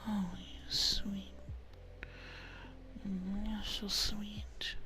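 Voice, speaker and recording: A woman hosting an ASMR live stream, chatting casually and affectionately to viewers in a soft, breathy voice that often drops into a whisper. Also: medium pitch, little pitch movement, drawn-out words, soft background music. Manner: affectionate, intimate, uses pet names.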